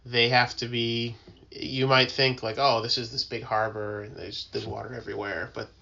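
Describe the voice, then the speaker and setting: Man, bright forceful tone, narrow pitch range, conversational explainer, informal and halting, slightly lo-fi connection. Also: little pitch movement, vocal fry. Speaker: tugboat mariner, podcast guest.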